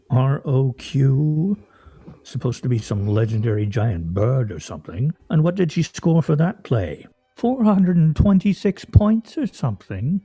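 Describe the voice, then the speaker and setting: A man's voice: a little high, warm tone, breathy edge, highs cut off, heavily acted. A man acting in a radio play as a pompous, needling husband with a florid, mock-formal, teasing way of talking. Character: pompous, needling, theatrical husband and scrabble player.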